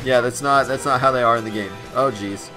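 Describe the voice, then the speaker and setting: A man hosting a let's play, commenting on gameplay in an excitable, enthusiastic way, his voice raspy and a bit stuffed up. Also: mid-range voice, a little fast, over music.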